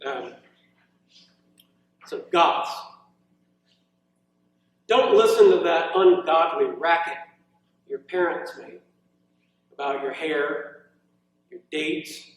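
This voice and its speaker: A male poet reads his work aloud to a live gathering, with measured verse and loose, conversational asides. His voice sits fairly high for a man. It comes through heavily echoing, picked up some way from the microphone. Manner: measured verse delivery, informal hesitant asides. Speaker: poet reading own work. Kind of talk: live poetry reading with casual asides. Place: indoor college reading event, echoing room. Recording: very echoey room, distant mic.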